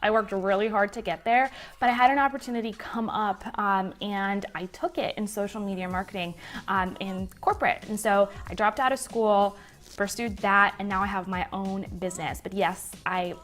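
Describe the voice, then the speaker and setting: A woman's voice: medium pitch, moderate pace, fairly bright tone. A woman in her twenties from Puerto Rico with a Puerto Rican accent, a native Spanish speaker, answering viewer questions to camera in a chatty way from her living room. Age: 20s. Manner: chatty, gestures a lot. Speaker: business vlogger. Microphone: webcam at desk.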